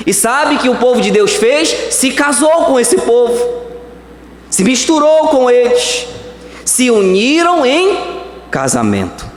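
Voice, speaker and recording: A Brazilian man preaching a sermon as pastor, swinging between slow emphatic words and quick phrases. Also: very high-pitched, big pitch swings. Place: church hall. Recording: echoey church hall.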